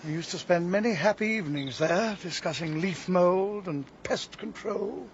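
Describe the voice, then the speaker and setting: An actor playing an aggrieved, vengeful middle-aged gardener and scoutmaster in a radio drama, with a wounded manner. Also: male voice, sits high, lightly acted. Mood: bitter, wounded. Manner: wounded, bitter, deliberate. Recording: dull sound, faint background noise.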